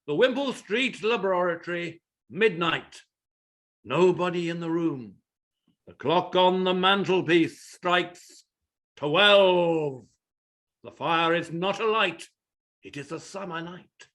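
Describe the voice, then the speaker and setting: A man's voice: high voice, takes it slowly, a bit bright. A man narrating stage directions for a play reading in a theatrical, scripted style. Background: plucked mandolin music.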